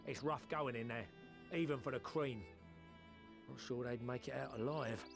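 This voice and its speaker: A man playing a rough-spoken, chirpy engineer in a video game, with a colloquial British accent and animated, folksy manner. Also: medium pitch, normal speed, music in the background, natural voice. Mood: wry, cautionary. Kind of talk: video game cutscene acting.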